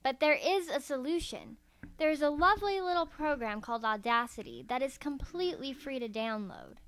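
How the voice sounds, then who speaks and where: A woman's voice: high-pitched, animated, a little fast, background noise. A woman narrating a scripted how-to tutorial in a careful, step-by-step way. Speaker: voice acting tutorial presenter. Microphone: USB karaoke microphone.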